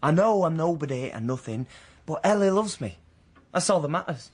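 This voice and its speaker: A man's voice: on the high side, expressive, moderate pace, husky. A young man acting a blunt, romantic working-class drifter in a radio play, with colloquial, plain-spoken delivery. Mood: wary, defiant. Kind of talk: radio play acting and first-person narration.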